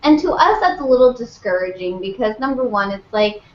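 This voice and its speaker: A young woman guest at a convention panel, chatting casually, animated and jokey while giving earnest advice. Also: fairly high pitch, fairly quick. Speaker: guest, video parody creator. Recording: dull, roomy audio with faint hum.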